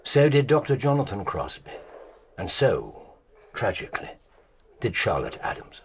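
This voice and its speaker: An actor playing an incisive, commanding British detective in a radio drama, with precise, theatrical delivery and dramatic pauses. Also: male voice, lowish voice, huge pitch swings, gravelly, a little hiss or hum, exaggerated voice. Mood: stern. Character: incisive, commanding male detective laying out a case. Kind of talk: BBC radio drama acting.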